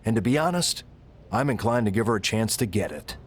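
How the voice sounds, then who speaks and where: A man's voice: lowish voice, animated, brisk, a little hiss or hum, natural voice. A man playing a wary, dryly diplomatic wrestling show boss in a video game. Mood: dry, placating. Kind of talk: scripted video game cutscene acting.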